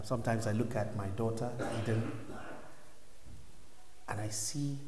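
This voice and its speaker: A man from Nigeria in his thirties shares his faith story before a congregation, talking in a reflective, conversational way. His voice rings through a big, echoey hall with a long reverb tail. A faint hum of the room sits underneath. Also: lowish voice. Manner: reflective, conversational, drops to near whispers. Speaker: church speaker giving a testimony. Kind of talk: personal testimony to a congregation.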